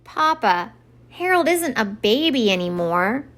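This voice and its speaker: A woman's voice: fairly high pitch, very animated, unhurried, a bit bright, voice pushed up. A woman voicing Santa's patient old wife in a children's storybook, coaxing and reasoning with him. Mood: firm, reasoning. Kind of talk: expressive children's storybook read-aloud.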